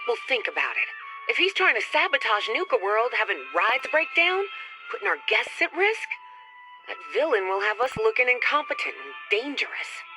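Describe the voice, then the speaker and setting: A woman's voice: fairly high pitch, sing-song, normal speed, music in the background, low-quality recording. A woman playing a supportive, level-headed sidekick in a retro radio drama. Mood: reassuring, thoughtful. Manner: reassuring, reasoning.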